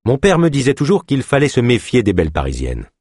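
A contemptuous mayor from an audiobook, acted by a man, scheming and triumphant. The voice is husky and cutting, with menace in every line, recorded dry and close. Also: sits low, sing-song, measured pace, a little mellow, dry recording, full character voice. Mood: triumphant contempt. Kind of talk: audiobook character acting.

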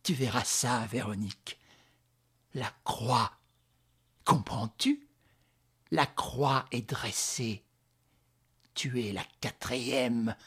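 Man voicing a hoarse, hate-filled withered villainess. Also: medium pitch, a bit bright, exaggerated voice. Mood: hateful vengeance. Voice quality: hoarse, rasping. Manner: halting, jerky, scanning her words.

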